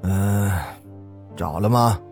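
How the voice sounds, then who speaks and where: Man voicing a grumbling, low-voiced household master. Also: very slow, vocal fry, darkish tone, faint music behind, full character voice. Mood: irritated, grumbling. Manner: grumbling, coarse, dismissive. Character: irritable male master of a wealthy old household. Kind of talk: ghost story character voice.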